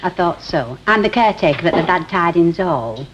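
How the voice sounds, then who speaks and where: A woman's voice: fairly low pitch, animated, moderate pace, highs cut off, natural voice. An actress playing a bossy, nosy older woman neighbour with a Lancashire accent and a brusque, gossipy delivery, in 1960s television soap style. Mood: brusque, prying. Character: blunt, nosy, bossy older female neighbour and hall caretaker. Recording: old noisy 1960s television soundtrack.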